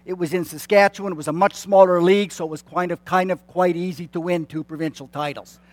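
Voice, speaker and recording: A man's voice: sits high, fairly even intonation, fast, a little mellow, dry recording. A man in his late fifties, a church consultant, addressing a congregation in a friendly, self-deprecating way with many fillers and restarts. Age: 50s. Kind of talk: announcements to a congregation. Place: church sanctuary.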